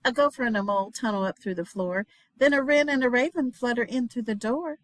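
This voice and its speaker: A woman reading a children's picture book aloud in a dramatic, expressive way, dropping into hushed refrains. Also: on the high side, a little fast, dry recording, rough recording. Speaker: storybook reader, teacher.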